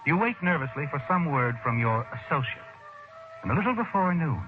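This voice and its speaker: An insinuating narrator of old radio suspense. His highly animated voice moves through deliberate, dramatic phrases. Music sits clearly behind him on a dull, dated recording. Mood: ominous. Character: omniscient male narrator addressing the heroine, insinuating, suspenseful. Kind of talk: 1940s radio drama narration in second person.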